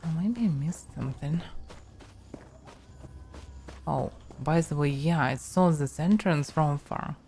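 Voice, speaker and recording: A woman with a Russian accent, musing softly to herself while giving casual commentary on her game stream. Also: deep voice, slow, pauses often, slight background noise. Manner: soft-spoken, musing to herself.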